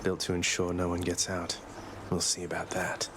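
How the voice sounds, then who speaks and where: An actor playing a wry, rebellious young underworld prince in a video game, speaking in a dry, politely sardonic way. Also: male voice, deep voice, monotone, moderate pace, background noise, natural voice. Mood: wry, understated.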